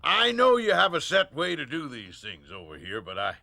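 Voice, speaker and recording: An actor playing a pushy, blustering middle-aged American father in a radio drama, with a gravelly voice. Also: fairly high pitch, wildly swooping pitch, normal speed, bright, forceful tone, no echo, studio quality, exaggerated voice. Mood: jovial, flustered.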